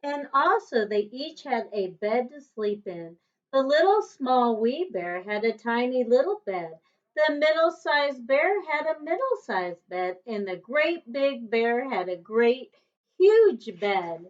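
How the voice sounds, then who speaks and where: A woman reads a children's storybook aloud at a slow pace. Her voice is fairly high and swings with lively intonation, stressing each contrast deliberately like a teacher. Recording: dull, lo-fi recording.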